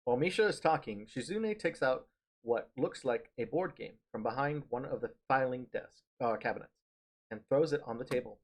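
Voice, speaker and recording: Husky man reading aloud, halting delivery. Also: medium pitch, expressive, rough recording. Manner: halting, stumbles and repeats words. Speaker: streamer reading a visual novel aloud. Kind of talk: live read-aloud narration of a visual novel. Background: music.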